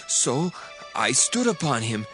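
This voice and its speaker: A man's voice: mid-range voice, very animated, slow, pushed and bright, heavily acted. An actor playing a young male Amalekite messenger who fled a battle, reporting in a breathless, pleading way in a dramatized scripture reading. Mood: urgent, pleading. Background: faint background music.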